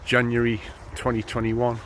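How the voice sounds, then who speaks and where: A man's voice: mid-range voice, moderate pace, a little hiss or hum. A man in his thirties from northeast England, chatting casually and a bit ramblingly while out on a snowy morning run. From North East England. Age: 30s. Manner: relaxed, rambling, lots of ums. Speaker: running vlogger. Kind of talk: casual chat while jogging. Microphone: handheld camera while running.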